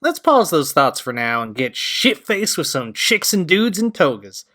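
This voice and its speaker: A man's voice: on the high side, very animated, unhurried, sharp tone, exaggerated voice. A man playing a sarcastic, exasperated pal at a party in an audio drama, wry and sweary. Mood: flippant.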